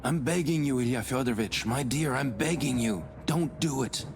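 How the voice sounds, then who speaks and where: An actor playing an anxious, pious gentleman in a visual novel, formal and earnestly pleading. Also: medium pitch, moderate pace, gravelly, close to an everyday voice. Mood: pleading, fearful. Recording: voice over prominent background music.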